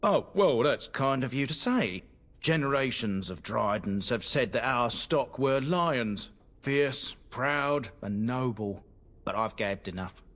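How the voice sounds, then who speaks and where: Humble merchant guy from a fantasy game, slow and earnest, proud of his bloodline. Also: mid-range voice, expressive, a bit bright. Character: humble chatty merchant man, proud of his noble lineage. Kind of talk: video game dialogue.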